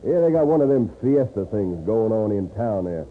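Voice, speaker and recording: A brash old-West gambler in a radio western. He drawls in folksy, ungrammatical American speech, cocky and grumbling, with pitch leaping around. The sound is a tinny, noisy vintage broadcast. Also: sing-song, normal speed. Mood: grudging, cocky. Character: brash, grumbling frontier gambler and horse racer. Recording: noisy, narrow-band old radio recording.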